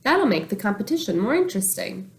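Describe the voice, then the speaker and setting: A woman voicing a brisk male gym teacher from a children's storybook, announcing to his class. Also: medium pitch, very animated, vocal fry.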